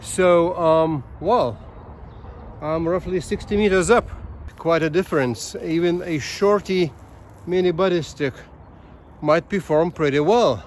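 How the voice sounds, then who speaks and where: A man giving a casual outdoor gear demonstration as a video host, halting as he thinks aloud. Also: a little high, a little hiss or hum. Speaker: amateur radio video host. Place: outdoors on a bushy hilltop.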